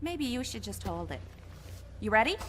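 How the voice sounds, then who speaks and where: A brash young space courier, with a fairly high, lively voice. She is bossy and cheeky in an audio drama way. The sound is reverberant. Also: normal speed. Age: young adult. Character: brash, cocky young female courier pilot. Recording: reverberant space, faint background noise.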